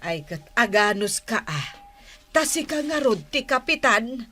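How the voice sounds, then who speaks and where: An actress playing the level-headed, firm wife of a village captain in a radio drama, with a measured, gently scolding manner. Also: female voice, on the high side, big pitch swings, full character voice. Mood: chiding.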